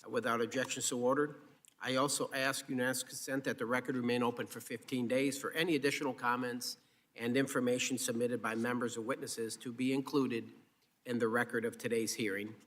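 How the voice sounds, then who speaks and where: A man's voice: mid-range voice, flat delivery, brisk, fairly bright tone. A man with an American accent chairing a formal hearing, reading out procedural remarks. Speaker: subcommittee chairman.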